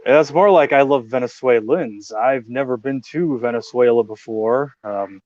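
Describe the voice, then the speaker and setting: An American man talks as a guest, measured and explanatory, pausing often. The sound is dull and a bit muffled. There is no room echo around him. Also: mid-range voice, a little mellow. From United States. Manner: measured, explanatory, many uh fillers. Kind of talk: casual interview talk.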